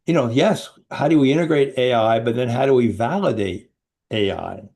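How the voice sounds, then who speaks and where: A middle-aged male host with a gravelly, fry-laden voice at an ordinary pitch. He chats with his guest as a fellow coach, keen and quick to chime in, stumbling over the odd restart. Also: a little mellow. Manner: enthusiastic, quick interjections, some stammering restarts. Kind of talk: interview host chat.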